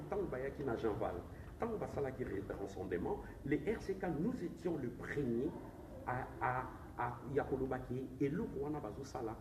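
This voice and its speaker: A man with a Congolese accent argues insistently in a political interview, switching between languages as he makes his case. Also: medium pitch. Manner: insistent, argumentative, code-switching. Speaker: interviewed politician, presidential candidate. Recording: distant, echoey, noisy room recording.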